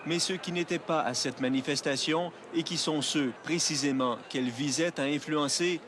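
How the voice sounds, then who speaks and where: A man's voice: on the high side, noisy background. Man reporter. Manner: measured broadcast delivery. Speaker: news reporter. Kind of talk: news report narration.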